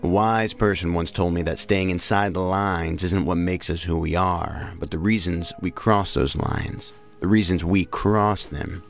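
A man playing a calm, frank federal agent in an audio drama. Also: deep voice, a little slow, creaky, few pauses, faint music behind. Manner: frank, reassuring.

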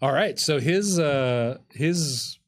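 A man's voice: fairly high pitch, expressive, takes it slowly. Casual man running a tabletop game. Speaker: game master. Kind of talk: narrating a tabletop roleplaying game. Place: indoor streaming studio with curtain backdrop. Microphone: desk microphone.

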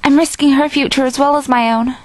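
A woman's voice: sits high, fairly even intonation, brisk, darkish tone, dry recording, pitched above the performer's own voice, lightly acted. A young woman voicing a poised, menacing female rival with formal phrasing in an amateur audition. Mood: pleading. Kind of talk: amateur audition line reading.

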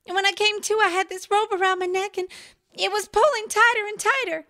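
A woman's voice: extremely high pitch, steady tone, brisk, pushed and bright, exaggerated voice. A woman playing a distressed, earnest young stagehand in a comedy audio drama, plaintive in manner. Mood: shaken.

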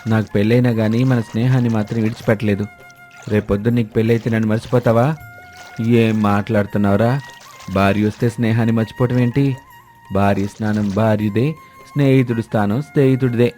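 A man voicing a friendly, earnest young village fisherman in an animated moral story. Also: on the low side, fairly quick, faint music behind, natural voice. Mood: friendly banter.

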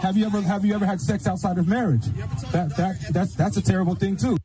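A man's voice: high-pitched, fairly even intonation, fast talker, mellow. A man preaching on the street, insistent and pressing, often stammering and repeating his words. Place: outdoors on a street. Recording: clip with music playing over it.

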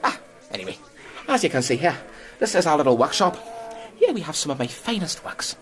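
A man's voice: fairly high pitch, sing-song, fairly quick. Eccentric inventor guy, scornful rants, very up and down, theatrical, radio play. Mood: sneering. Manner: sneering, theatrical, ranting. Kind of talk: audio drama acting.

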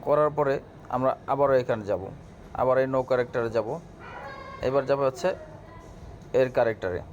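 A man's voice: medium pitch, fairly quick, a little mellow, muffled recording. A man giving a casual step-by-step app tutorial, speaking directly to viewers in an instructional way. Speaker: tutorial host. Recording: clear background noise, room ambience.

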